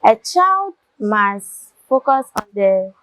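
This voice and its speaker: A woman's voice: medium pitch, sing-song, fairly bright tone, no echo, rough recording. Earnest schoolgirl, dry close sound. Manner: earnest, measured, pauses to find words. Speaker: student. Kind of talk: answering in a discussion.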